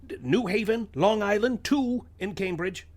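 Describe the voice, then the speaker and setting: A man's voice: high-pitched, expressive, a little slow, a little mellow. A man playing a grave, learned professor who speaks precisely and with authority in a radio-play reading. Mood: urgent, grave.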